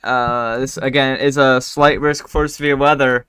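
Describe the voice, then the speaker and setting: A man with a bright, crisp voice runs a casual live weather discussion, stopping often for fillers and restarts. His voice is still recovering from a bout of lost voice, and the sound is dry and close. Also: mid-range voice, little pitch movement, dry recording. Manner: halting, many fillers and restarts. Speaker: weather livestream host.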